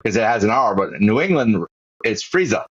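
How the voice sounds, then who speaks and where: Low-voiced Boston man hosting a podcast. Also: animated, brisk, a bit bright, husky, no echo, dull audio. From Massachusetts, USA. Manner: rambling, many restarts and repeated words. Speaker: podcast host. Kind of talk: casual interview chat.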